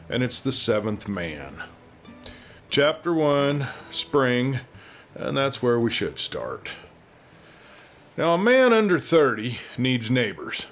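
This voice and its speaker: Man storytelling over plucked guitar music. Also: mid-range voice. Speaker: storyteller host reading a western novel. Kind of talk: folksy scripted audiobook narration.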